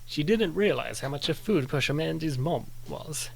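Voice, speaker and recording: High-voiced, creaky man narrating a story. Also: expressive, normal speed.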